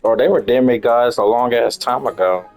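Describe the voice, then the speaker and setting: A man's voice: medium pitch, moderate pace, darkish tone, faint music behind. A man reacting to a video game as a streamer, chatting casually in blunt slang with swearing.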